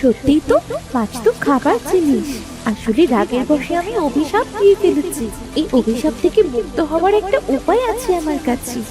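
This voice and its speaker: A woman's voice: high voice, big pitch swings, normal speed, low-quality recording. A woman playing a proud mermaid who casts curses yet shows mercy, in a children's moral-story cartoon. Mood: relenting. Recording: music behind the voice.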